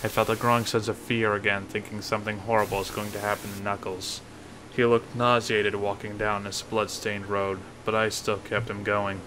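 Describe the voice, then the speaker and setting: A man's voice: lowish voice, level tone, moderate pace, noisy background. A man reading a first-person horror story as a creepypasta narrator. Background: music.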